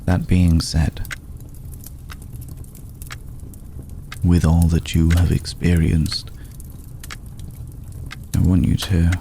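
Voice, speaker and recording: Man as a very deep, gravelly vampire lord. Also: warm tone, slight background noise, full character voice. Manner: measured, deliberate, solemn, with long pauses. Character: courteous, brooding centuries-old male vampire lord, tender toward a mortal. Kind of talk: slow intimate ASMR roleplay.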